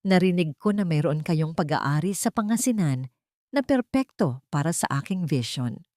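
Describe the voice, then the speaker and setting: A woman voicing a cold, haughty woman designer in a dramatic story, measured and threatening. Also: deep voice, animated, dark tone, no room sound, studio quality. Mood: cool, calculating. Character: cold, commanding glamorous woman designer set on revenge.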